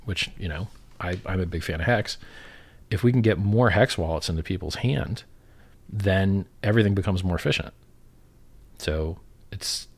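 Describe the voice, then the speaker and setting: A man giving an opinionated, casual explanation, blunt and emphatic, repeating himself and swearing freely. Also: lowish voice.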